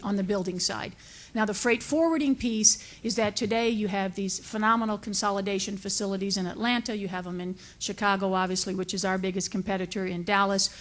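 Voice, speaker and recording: Woman, mid-range pitch, committee witness, semi-formal explanatory testimony.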